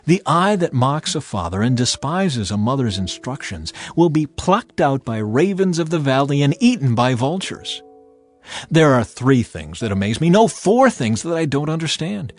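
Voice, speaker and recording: A man giving a dramatized, measured and emphatic scripture narration as an audio Bible reader. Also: mid-range voice, sing-song. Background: background music.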